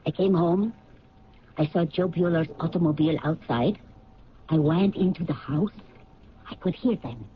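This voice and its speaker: An actress playing a widow who recounts a quarrel in a measured, grave way. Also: female voice, on the low side, a little hiss or hum, natural voice. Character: grave, insistent widow of a murdered man. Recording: dull lo-fi 1970s radio play.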